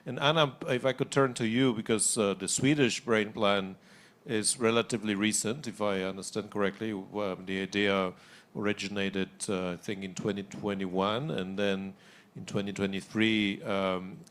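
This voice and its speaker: A man moderating a conference panel, asking questions haltingly with many uhs and restarts. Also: lowish voice, steady tone, a bit bright. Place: conference session.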